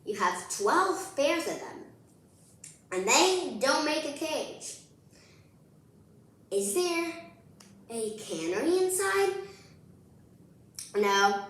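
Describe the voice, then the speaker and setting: Animated woman reading aloud in an echoey room. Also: on the high side, takes it slowly, pauses often. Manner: animated, playful, addressing child listeners directly. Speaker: children's read-aloud host. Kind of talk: expressive picture book read-aloud.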